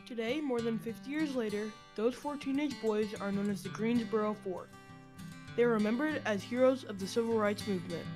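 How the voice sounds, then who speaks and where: A woman's voice: fairly high pitch, brisk, over music. A woman reading scripted narration for an amateur radio drama.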